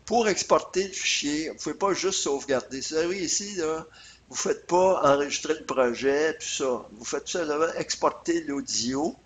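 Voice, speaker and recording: A man's voice: on the high side, moderate pace, a little hiss or hum. A man with a Quebec French accent narrating a casual screencast tutorial step by step, with some hesitation, on a USB computer microphone. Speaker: software tutorial presenter.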